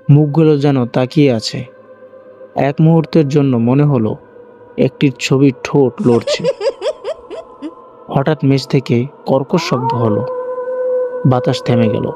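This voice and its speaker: A man's voice: mid-range voice, mellow. A man narrating a scripted first-person horror story as the channel's host. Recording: faint music bed under narration. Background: faint music.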